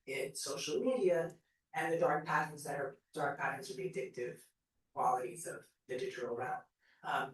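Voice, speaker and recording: A man who teaches, asking the panel a long, earnest question and often restarting his phrases. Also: fairly high pitch. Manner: earnest, searching, many restarts. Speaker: audience member asking a question, educator. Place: large event hall. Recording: echoey hall, voice from far off.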